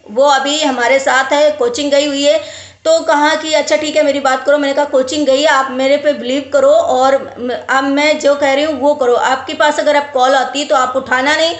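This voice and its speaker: High voiced lady vlogger, casual advice chat, says stuff over again to push the point, echoey room. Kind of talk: casual advice talk to viewers. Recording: roomy, echoey sound.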